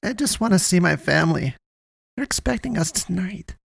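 Man putting on an exasperated woman, voice pitched up. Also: quick pace, dry recording, studio quality, lightly acted. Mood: tearful pleading. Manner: snappy, emphatic, whiny. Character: angry, distressed young woman, sarcastic and exasperated.

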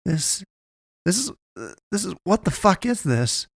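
A woman's voice: low-pitched, lively intonation, measured pace, dry recording, clean studio sound, voice pushed up, full character voice. A man reading a story aloud, voicing a panicky, foul-mouthed young woman who stammers and swears. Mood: bewildered.

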